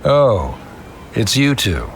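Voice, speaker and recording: A man's voice: mid-range voice, big pitch swings, slow pace, a little hiss or hum. An actor playing a proud, formal middle-aged scholar who is a reserved father in a video game.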